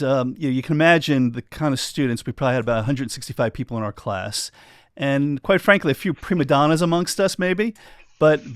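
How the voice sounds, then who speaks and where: A man's voice: medium pitch, no echo, studio quality. Enthusiastic older man hosting interview. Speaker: physician interview host.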